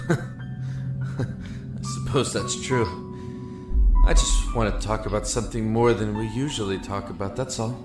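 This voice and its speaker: An actor playing a stubborn, lovesick young prisoner in an audio drama, earnest and pleading, with a stammer at times. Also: male voice, fairly low pitch, fairly even intonation, unhurried, pauses often, natural voice. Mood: weary. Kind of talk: audio drama acting with reflective narration. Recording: roomy echo with music underneath.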